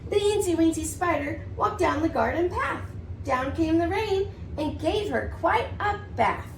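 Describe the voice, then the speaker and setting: High-voiced woman reading to preschoolers, roomy sound. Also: a little slow, creaky. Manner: sing-song, expressive, rhythmic reading. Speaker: preschool teacher reading aloud. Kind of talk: storybook read-aloud for young children. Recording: distant mic, roomy echo, faint noise.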